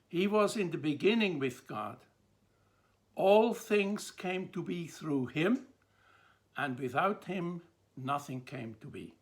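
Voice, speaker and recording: An older man with a Swiss accent speaks very slowly and deliberately, breaking his thoughts into short pauses. His voice sits a little high for a man and carries a gravelly rasp. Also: expressive. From Switzerland. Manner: measured, pausing between phrases. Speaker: theologian presenting a talk. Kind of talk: slow, deliberate lecture to camera.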